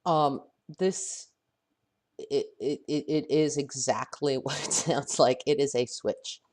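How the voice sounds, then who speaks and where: A man with a head cold and a voice that is starting to go talks through a software tutorial. He thinks aloud, pausing and restarting his sentences as he works, at an ordinary middle pitch. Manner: thinking aloud, halting and self-correcting. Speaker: software instructor. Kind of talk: screen-recorded software tutorial.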